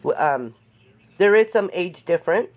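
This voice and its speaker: A woman with a raspy voice after an illness, chatting and joking as she demonstrates a paper craft in her home craft room. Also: fairly low pitch, lively intonation, normal speed, a little mellow. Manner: chatty, joking, laughs often. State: recovering from illness. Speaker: craft tutorial host. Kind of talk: casual chat while demonstrating a craft.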